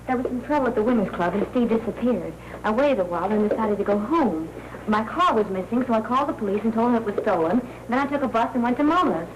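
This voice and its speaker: An actress playing an apologetic, eager young American cub reporter in a 1940s Hollywood film, gushing and naive. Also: female voice, fairly high pitch, animated, quick pace, natural voice. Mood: chatty, innocent. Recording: noisy old 1940s film soundtrack.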